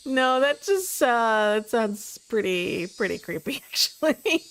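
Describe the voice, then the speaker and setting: High-voiced woman chatting on a game stream. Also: lively intonation, slow, fairly bright tone. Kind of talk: casual live-stream game commentary.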